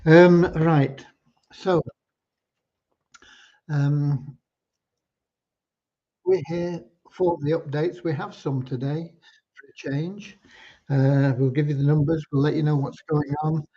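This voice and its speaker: A man from Cornwall hosting an informal livestream, talking in an unhurried, earnest, slightly preachy way. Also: on the high side, fairly even intonation, dark tone. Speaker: livestream host and community leader. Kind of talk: informal livestream updates with devotional talk. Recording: dull lo-fi livestream audio.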